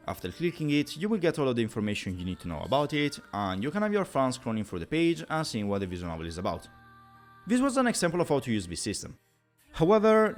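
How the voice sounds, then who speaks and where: A man narrates a tutorial as its host, his pitch swinging in a lively, animated way over faint background music. His phrasing is playful and mock-formal, at an average male pitch. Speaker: video host.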